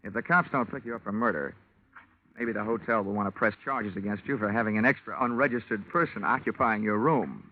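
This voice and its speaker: An actor playing a wisecracking, shrewd insurance investigator in a 1950s radio detective show, with sardonic, punchy, slangy delivery. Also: male voice, lowish voice, a little fast, close to an everyday voice. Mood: curt. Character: wisecracking hard-boiled male insurance investigator, brash and shrewd. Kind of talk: 1950s radio detective acting and first-person narration. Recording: muffled, hissy old radio recording.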